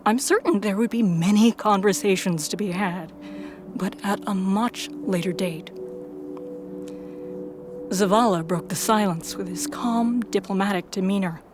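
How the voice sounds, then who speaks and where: A woman's voice: mid-range voice, a little slow, background noise. A woman reading scripted first-person story narration as the narrator and protagonist of an audio drama.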